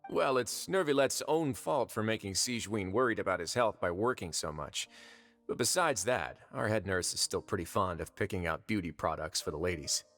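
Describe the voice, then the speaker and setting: An actor playing a suave, sardonic prison warden in a video game, speaking with wry authority. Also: male voice, fairly low pitch, animated, moderate pace, fairly bright tone, soft background music. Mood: matter-of-fact.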